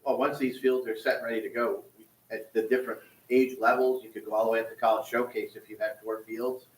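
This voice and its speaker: A man representing a softball league, explaining plans at a town board meeting in an easygoing way with frequent restarts. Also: mid-range voice, little pitch movement, quick pace. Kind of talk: public meeting discussion. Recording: distant mic in echoey hall.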